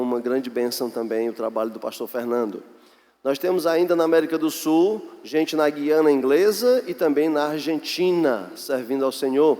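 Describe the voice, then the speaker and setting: A Brazilian man speaks as a pastor presenting missions to a congregation. His voice sits a little high for a man, and he explains earnestly and without hurry, pausing as he goes. The sound carries the long reverb of a hall. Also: darkish tone. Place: large echoey church hall.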